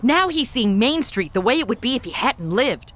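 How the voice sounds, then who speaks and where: A woman's voice: fairly high pitch, very animated, moderate pace, fairly bright tone, a little hiss or hum. A woman playing a brash, flippant young angel trainee with sassy modern slang in an audio comedy. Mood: flippant, wry. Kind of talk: comedy audio drama acting.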